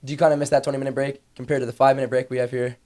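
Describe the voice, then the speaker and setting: A man's voice: medium pitch, level tone, a little mellow. A teenage boy anchoring a school morning show, asking students casual, upbeat questions. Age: teens. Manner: upbeat, quick, chatty questioning. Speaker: student news anchor and interviewer. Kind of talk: casual school broadcast interviews.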